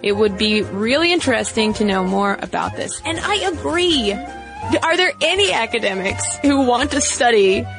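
A woman's voice: fairly high pitch, big pitch swings, normal speed, music in the background. A woman co-hosting a podcast in a friendly, casual way, reading a listener's letter and running through the show's plugs. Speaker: podcast co-host.

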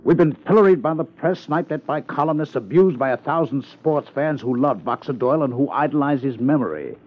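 An actor playing an insistent, oratorical police lieutenant in a 1950s radio crime drama, emphatic and theatrical. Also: male voice, medium pitch, lively intonation, fairly quick, close to an everyday voice. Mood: indignant, oratorical. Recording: dull vintage 1950s radio recording.

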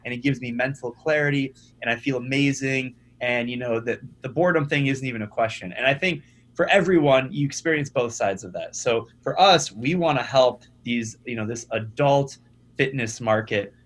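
A young man, a startup founder, talking casually as a podcast guest, energetic and often repeating his first words. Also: mid-range voice, normal speed. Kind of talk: conversational podcast interview.

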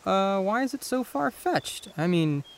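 A man's voice: sits high, very animated, measured pace, vocal fry, heavily acted. A man playing a guarded, defensive but sensitive teenage boy in a naturalistic audio drama, with hesitant delivery. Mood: defensive, hurt.

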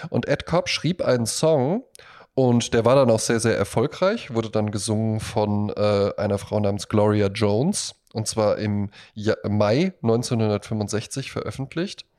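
A man's voice: lowish voice, normal speed, a little mellow. A man hosting a podcast, chatting casually about music and telling stories in an anecdotal, conversational way. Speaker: podcast host.

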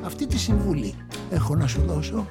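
A woman's voice: lowish voice, lively intonation, vocal fry. A woman in a radio play voicing a seasoned male writer who coaxes and lectures a young journalist, with music playing under the scene. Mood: condescending. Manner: patronizing, coaxing.

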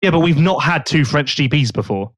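A man's voice: a little high, lively intonation, brisk, no echo, clean studio sound. A man hosting a casual podcast, chatting and joking while he thinks aloud. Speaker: podcast host.

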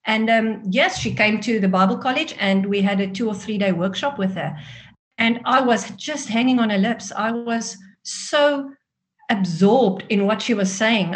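A woman in her forties with a South African Afrikaans accent, a native Afrikaans speaker, telling her own story expressively as an interview guest. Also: a little high. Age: 40s. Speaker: guest author.

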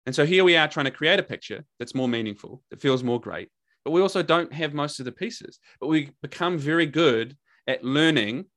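A man's voice: fairly high pitch, animated, brisk, a bit bright. A man with a New Zealand accent, a podcast guest and coach, talking candidly and energetically, swearing freely and repeating words for emphasis. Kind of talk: casual podcast conversation.

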